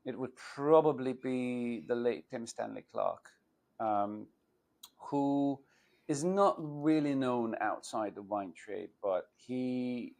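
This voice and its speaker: A man's voice: medium pitch, a little mellow. A man in his forties from Hungary, with a Hungarian accent, speaking English on a video call as a port wine educator, thoughtful with many fillers and restarts.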